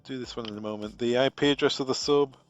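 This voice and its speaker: A man with a British accent, a product trainer talking through a software configuration step by step, relaxed and thinking aloud with fillers. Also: mid-range voice, fast, muffled recording, faint music behind.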